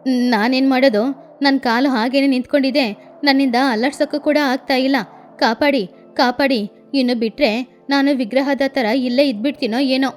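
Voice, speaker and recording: A woman's voice: high-pitched, fairly even intonation, moderate pace, faint music behind, natural voice. A woman voicing a fussy, theatrical adult female crow aunt in a cartoon, who wails and talks her way out of trouble. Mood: wailing. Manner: theatrical, wailing and self-justifying.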